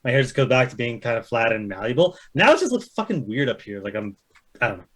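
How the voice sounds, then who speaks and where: Male casual chatter, animated swooping intonation, self-deprecating and profane. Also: medium pitch, fast talker. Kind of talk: casual chat.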